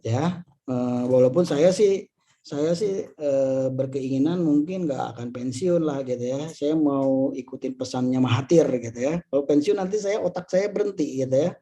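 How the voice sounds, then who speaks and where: Casting: Indonesian-accented man around fifty, mellow mid-pitched voice, chatty motivational speaker addressing colleagues. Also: normal speed. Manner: conversational, peppered with tag phrases and rhetorical questions. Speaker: insurance agency leader and motivational speaker. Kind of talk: informal motivational talk to colleagues.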